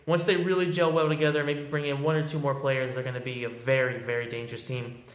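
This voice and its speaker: A man's voice: medium pitch, flat delivery, quick pace. A man in his twenties giving casual, unscripted sports analysis to camera in a conversational way, repeating words for emphasis. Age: 20s. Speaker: sports commentator giving a post-match reaction. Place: small bare-walled indoor room. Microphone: phone or webcam at arm's length. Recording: echoey small bare room.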